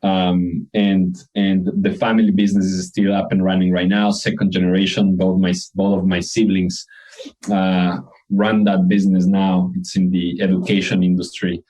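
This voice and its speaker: Low, mellow-voiced Ecuadorian man. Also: level tone, moderate pace, low-quality recording. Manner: earnest, reflective, frequent restarts. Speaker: guest, company co-founder. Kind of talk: conversational interview answers.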